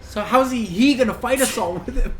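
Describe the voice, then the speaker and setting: A man's voice: very high voice, fairly quick. A young man in casual podcast chat, tossing out short joking quips, with music playing behind. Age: young adult.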